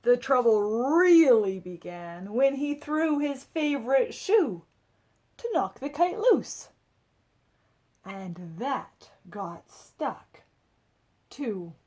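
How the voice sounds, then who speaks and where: A woman reading a picture book aloud to children, with expressive dramatic emphasis and chatty asides to her listeners. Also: a little high, extremely slow.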